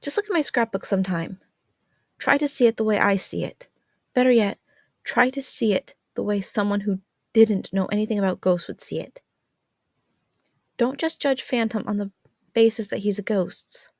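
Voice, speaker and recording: Woman as teenage daughter, exasperated, insistent, earnest, higher-pitched, slightly husky, story dialogue. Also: normal speed, long pauses, dry recording, clean studio sound, natural voice. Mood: earnest, urging. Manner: insistent, pleading. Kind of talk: acted fan fiction reading.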